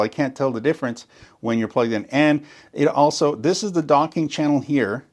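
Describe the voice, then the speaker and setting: Enthusiastic man explaining speaker gear. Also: medium pitch. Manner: enthusiastic, explanatory, repeats "very important". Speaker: gear demonstrator. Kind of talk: tutorial walkthrough.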